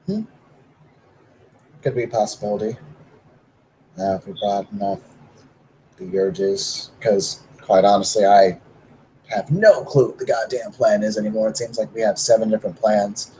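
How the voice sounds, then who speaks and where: A man's voice: fairly low pitch, steady tone, normal speed, pauses often, warm tone, slight background noise, poor audio. A man playing a tabletop roleplaying game, answering in character with laid-back, brief replies.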